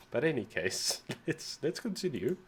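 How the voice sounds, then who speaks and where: A man's voice: medium pitch, very animated, measured pace, breathy edge. A South African man whose first language is Afrikaans, slightly sick, chatting casually and rambling as the host of a music reaction channel. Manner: rambling, self-deprecating, often restarts words. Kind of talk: casual reaction video chat.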